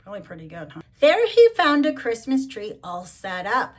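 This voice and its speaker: A middle-aged or older woman doing a storybook read-along, chatting to child listeners and gently asking them questions. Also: mid-range voice, big pitch swings, normal speed. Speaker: grandmother reading to children.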